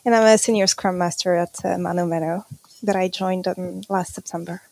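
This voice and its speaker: A woman's voice: on the low side, steady tone, normal speed, vocal fry, darkish tone, no echo. A woman in her thirties from Morocco, a podcast guest answering interview questions hesitantly, with many fillers. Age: 30s. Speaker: guest, scrum master.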